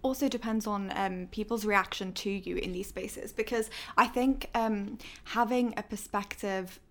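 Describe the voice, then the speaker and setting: A woman's voice: medium pitch, unhurried, fairly bright tone. A woman hosting a podcast in a conversational interview style. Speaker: podcast host.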